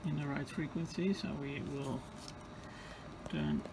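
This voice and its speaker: A man in his forties with a Dutch accent, repairing an old radio and thinking aloud step by step with hesitant pauses. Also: fairly high pitch, heavy background noise. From Netherlands. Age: 40s. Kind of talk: hands-on repair vlog.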